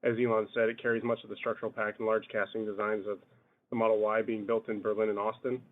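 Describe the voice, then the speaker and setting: A man giving a measured, matter-of-fact business update as an executive on an earnings call, over a thin phone-like line. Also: lowish voice, little pitch movement. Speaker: company executive.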